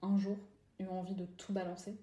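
A woman's voice: mid-range voice, flat delivery, fairly quick, mellow. Hesitant woman host asking questions. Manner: hesitant, many restarts and fillers. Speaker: podcast host. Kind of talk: interview questions. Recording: echoey room.

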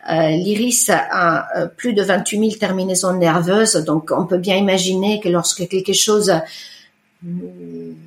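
A middle-aged woman whose first language is Italian, talking about her work as a guest in an interview, pausing often with fillers and restarts. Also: on the low side, steady tone. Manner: hesitant, many fillers and restarts. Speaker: iridologist guest. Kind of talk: conversational interview. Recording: roomy echo.

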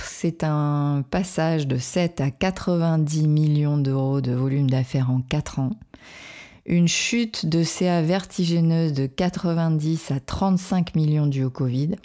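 Low, mellow-voiced podcast host woman. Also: measured pace. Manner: upbeat, emphatic, promotional. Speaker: podcast host and career coach. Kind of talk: scripted podcast intro then interview.

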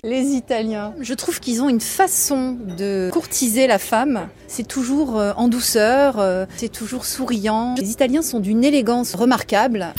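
A woman from France, a model, talking in a relaxed personal monologue on a podcast, unhurried and fond, pausing on soft hesitations as she lists small pleasures. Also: on the high side.

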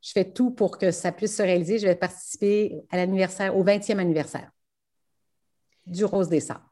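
A woman's voice: medium pitch, steady tone, brisk, mellow. Québécois woman, eager rally co-driver. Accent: Québécois French. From Quebec. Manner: enthusiastic, laughs often, many fillers. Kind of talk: interview answers.

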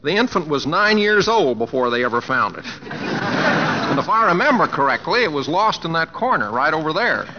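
An actor playing an easygoing, teasing young cowboy with an American West accent, polite and folksy, in a 1950s radio drama. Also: male voice, fairly high pitch, big pitch swings, moderate pace, background noise. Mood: wry teasing. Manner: folksy, polite, hesitant with uhs. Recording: muffled vintage radio broadcast.

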